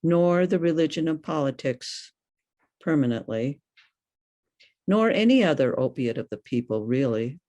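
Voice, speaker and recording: An older woman reading poetry aloud in a deliberate, questioning manner. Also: sits low, expressive, mellow. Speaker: poet.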